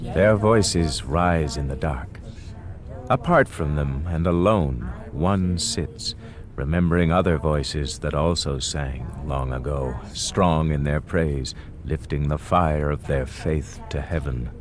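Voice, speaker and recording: A man narrating a radio drama as a solemn, poetic storyteller with a lyrical, deliberate delivery. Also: a bass voice. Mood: somber, hushed.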